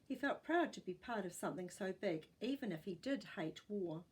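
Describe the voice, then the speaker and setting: Husky New Zealand woman reading a story. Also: medium pitch, expressive, moderate pace, darkish tone. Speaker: storyteller reading a children's book. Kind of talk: expressive storybook narration.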